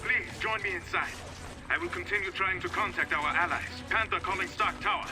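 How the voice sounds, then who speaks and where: Game hero, male monarch, regal and commanding, high voice, formal delivery, heavy score behind. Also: brisk, distant mic. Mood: urgent, formal. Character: male African king superhero, regal, urgent. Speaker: video game character. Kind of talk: dramatic video game cutscene acting. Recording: loud music and crashes behind.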